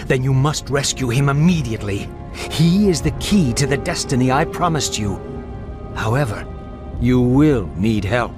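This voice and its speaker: A mysterious prophet of doom from a fantasy game, played by a male actor. He speaks at a middle pitch with stern, theatrical gravity while music plays underneath. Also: expressive, moderate pace, natural voice. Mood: solemn. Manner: grave, portentous, theatrical. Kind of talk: video game voice acting.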